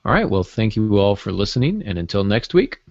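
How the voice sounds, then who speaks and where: Low, gravelly man, drily joking. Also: sing-song, fairly quick, no echo. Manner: joking, dry humour. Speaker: writer and podcast co-host. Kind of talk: casual podcast chat. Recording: dull, dry lo-fi online-call audio.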